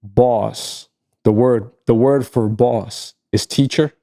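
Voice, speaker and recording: Gravelly, mellow-voiced man co-hosting. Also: mid-range voice, steady tone, slow. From Egypt. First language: Arabic. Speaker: podcast co-host. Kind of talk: casual podcast chat.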